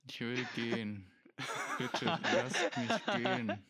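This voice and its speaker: A man's voice: medium pitch, big pitch swings, takes it slowly, fairly bright tone. Male guest, casual chat, dry nitpicker who teases the hosts. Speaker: podcast guest.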